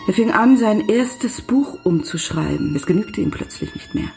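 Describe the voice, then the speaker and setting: An actress in a radio drama playing an earnest confidante who recalls a troubled writer in a reflective, precise way. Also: female voice, medium pitch, expressive, normal speed, creaky, a little mellow, soft background music. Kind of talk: literary radio drama monologue.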